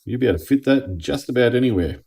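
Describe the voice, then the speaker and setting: A man's voice: mid-range voice, lively intonation, quick pace, vocal fry, a little mellow, husky. An Australian man giving a casual hands-on product review in a laid-back, plain-spoken way, as if thinking aloud. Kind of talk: casual unboxing and review commentary.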